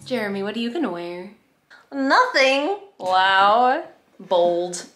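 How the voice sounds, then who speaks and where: A woman around her thirties chatting casually to camera in a playful, expressive way, stretching words for emphasis. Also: medium pitch, slow, faint music behind. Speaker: vlogger. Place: apartment living room. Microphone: camera at arm's length.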